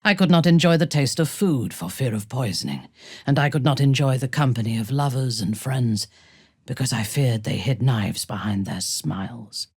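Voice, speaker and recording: A woman playing a ruthless, imperious female dark elf warrior in a video game, with commanding, disdainful and measured delivery. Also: very deep voice, animated, slightly rough, no echo, clean studio sound, heavily acted. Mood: grave, proud. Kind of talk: video game character acting.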